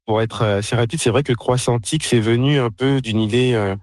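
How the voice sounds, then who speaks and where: A man from France with a French accent, a guest pastry caterer answering interview questions in a halting way, with many fillers and restarts. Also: mid-range voice, fairly even intonation, darkish tone, no room sound, studio quality.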